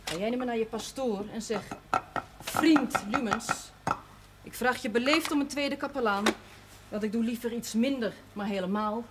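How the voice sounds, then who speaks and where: A clearly noisy 1970s television recording carries an actress as a blunt Dutch woman with a fairly high voice. She is pointed and admonishing yet caring, played in a naturalistic drama manner. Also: normal speed, natural voice. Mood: firm, softening. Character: blunt, sharp-tongued, caring woman scolding a chaplain.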